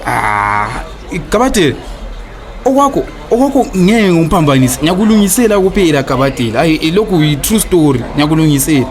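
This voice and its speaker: An actor playing a smooth-talking, evasive cheating husband in a radio drama, with a Zimbabwean accent and a stammer when cornered. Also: male voice, a little high, sing-song, fairly quick. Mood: flustered, pleading. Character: smooth-talking, cheating husband wooing his young lover, evasive debtor.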